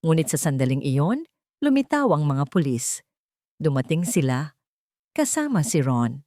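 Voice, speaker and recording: Lady w a lowish voice doing dramatic audiobook narration, super dry sound. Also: big pitch swings, unhurried, warm tone, dry recording. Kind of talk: dramatic first-person scripted story narration.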